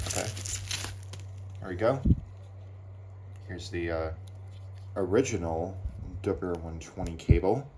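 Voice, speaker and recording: Low voiced guy doing a casual unboxing, really slow and halting, bit of noise n clicks behind him. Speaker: hobbyist unboxing weather radios. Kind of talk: casual unscripted unboxing commentary. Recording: clear background noise, handling clicks.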